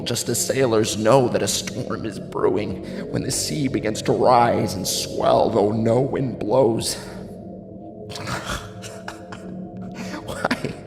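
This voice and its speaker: A man's voice: mid-range voice, natural voice. An actor playing a grief-stricken, wavering drunken nobleman, lamenting theatrically in a classical tragedy. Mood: uneasy foreboding. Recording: long hall reverb, music underneath.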